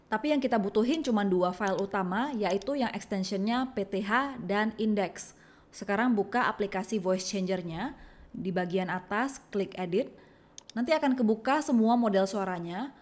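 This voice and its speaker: A woman presents a software tutorial as scripted narration. Her voice sits at an average pitch for a woman, and she explains things step by step in an instructional way. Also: moderate pace.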